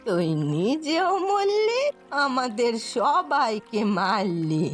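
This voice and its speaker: Woman acting a high, swooping, dramatic village woman. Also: very animated, slow pace. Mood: scolding, mocking. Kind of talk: cartoon moral story dialogue. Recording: music behind the voice. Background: background music.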